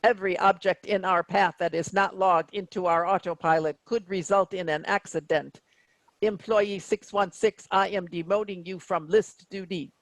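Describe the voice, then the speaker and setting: A woman's voice: fairly low pitch, fairly even intonation, moderate pace, dry recording, natural voice, low-quality recording. A woman playing a stern, coldly officious robot captain of a spaceship in a staged play reading, with a formal, rigid delivery.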